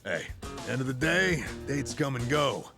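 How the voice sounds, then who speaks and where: An American man playing a brash, easygoing, encouraging buddy with a gravelly voice in a video game. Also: medium pitch, animated, unhurried. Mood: reassuring. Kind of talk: game dub acting. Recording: music behind dialogue.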